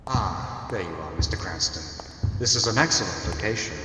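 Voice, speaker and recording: An actor playing an arrogant, million-year-old criminal mastermind in an old-time radio drama, pompous, theatrical and condescending. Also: male voice, low-pitched, expressive, moderate pace, long reverb tail. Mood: cold, suspicious.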